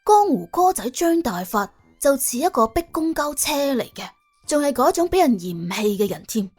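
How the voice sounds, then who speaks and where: A woman's voice: sits high, big pitch swings, moderate pace, darkish tone, faint music behind. A woman with a Cantonese accent narrating an audiobook dramatically, in a wry, colloquial, exclamatory way. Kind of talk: dramatic scripted storytelling.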